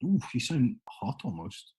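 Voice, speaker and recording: Brief: british podcast co-host, jokey, very lively pitch, a bit breathy. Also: male voice, measured pace. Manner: joking, laughs often. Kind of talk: casual chat.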